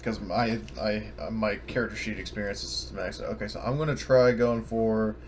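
A man's voice: fairly low pitch, normal speed, slight background noise. A man chatting casually and swearing freely during an online tabletop roleplaying game session. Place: online voice call, indoors.

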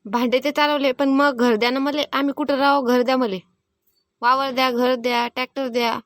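Woman acting high-voiced, stubborn village daughter-in-law. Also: steady tone, normal speed, no room sound, studio quality, close to an everyday voice. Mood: demanding. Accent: rural Maharashtrian. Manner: defiant, insistent, argumentative. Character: stubborn, fed-up village daughter-in-law, married fifteen years. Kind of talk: animated family comedy acting.

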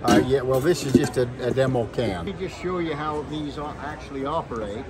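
A man's voice: medium pitch, fairly quick, over a noisy crowd. A man in his sixties giving a plain product demonstration as an exhibitor. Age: 60s. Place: large indoor expo hall booth. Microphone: handheld camera at arm's length or further. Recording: very noisy trade show floor.

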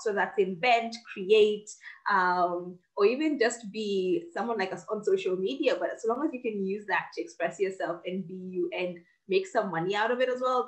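A woman in her twenties from Kenya, a guest on a casual interview over a video call, speaking confidently and articulately, recorded on a laptop webcam at home. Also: medium pitch. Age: 20s. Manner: confident, articulate, laughs easily. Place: home office with bookshelves.

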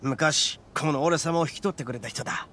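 A man voicing a brash, boastful young oni gang boss in an anime game, with cocky, blustering, rough street talk. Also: fairly high pitch, moderate pace, bright, forceful tone, a little hiss or hum. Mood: earnest.

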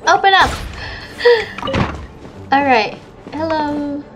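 A woman's voice: sits high, expressive, drawn-out words, fairly bright tone, soft background music. A woman giving casual let's play commentary, gushing and reacting aloud to the game. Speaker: gaming video host.

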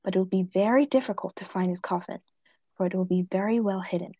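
A woman playing a solemn, insistent vampire-hunting professor in a radio play, husky and emphatic. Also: medium pitch, steady tone, quick pace, mellow, dry recording, clean studio sound, close to an everyday voice. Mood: grave, pleading. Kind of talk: stage-style radio play acting.

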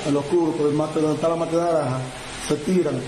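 Halting Dominican man lying in bed. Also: on the high side, fairly even intonation. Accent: Dominican Spanish. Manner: halting, repeats words and restarts often. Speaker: interviewee. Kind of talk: rambling account to a reporter. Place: hospital room, indoors. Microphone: phone held close to the face. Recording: roomy with loud background noise.

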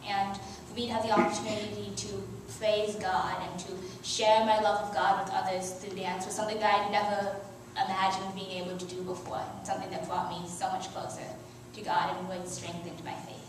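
A teenage girl reading a prepared youth sermon at a church lectern, earnest, with soft laughs and small restarts. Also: medium pitch, level tone, a little fast, a little hiss or hum. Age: teens. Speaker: youth speaker. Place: church sanctuary. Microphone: headset mic, filmed by far camera. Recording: very echoey church from far off.

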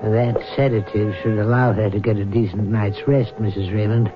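An actor playing a measured, rational doctor in a 1970s radio drama. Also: male voice, on the low side, level tone, loud music behind the voice, close to an everyday voice. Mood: clinical. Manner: measured, clinical. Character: calm rational male doctor, middle-aged, firm. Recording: dull old radio broadcast with faint hiss.

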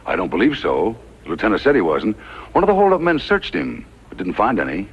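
Deep, husky, polite stagecoach traveler. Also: male voice, wildly swooping pitch, brisk, heavily acted. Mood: earnest, uncertain. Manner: courteous, formal. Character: polite, earnest stagecoach passenger. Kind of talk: 1950s radio western acting. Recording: dull 1950s radio recording.

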